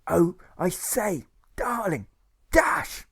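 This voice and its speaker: A man's voice: high voice, sing-song, drawn-out words, gravelly, fairly bright tone, breathy edge, voice pushed up, exaggerated voice. A man voicing a posh, fussy wartime nurse in an audiobook, affected and prim in manner. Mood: prim, discreet. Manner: affected, prim, upper-class exclamations.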